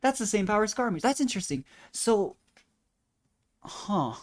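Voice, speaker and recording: A woman giving relaxed, musing, casual commentary as she streams a game. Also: mid-range voice, moderate pace. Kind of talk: casual reactive gameplay commentary. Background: game music and dialogue.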